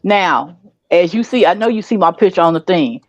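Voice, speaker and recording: A woman guest with a deep voice, speaking emphatically in a casual panel talk. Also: animated, normal speed. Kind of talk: casual debate chat.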